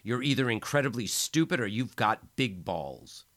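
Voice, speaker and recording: A man's voice: lowish voice, animated, measured pace, bright, forceful tone, voice pushed up, full character voice. A man voicing a cruel male enforcer who sneers and threatens. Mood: menacing. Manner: threatening, sneering, then falsely soothing.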